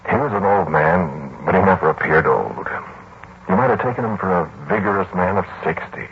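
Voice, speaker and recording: An actor playing a weary, defensive man who tells his story in a halting, conversational, brooding way, in 1940s radio drama style. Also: deep voice, animated, breathy edge, full character voice. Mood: brooding, reflective. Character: weary, defensive male narrator recounting a haunted house. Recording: muffled, hissy 1940s radio recording.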